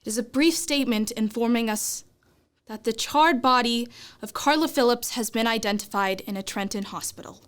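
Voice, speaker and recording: Radio newswoman female actor: formal, urgent, somewhat high-pitched, drama bulletin style. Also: normal speed, clean tone, lightly acted. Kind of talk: radio play news announcing.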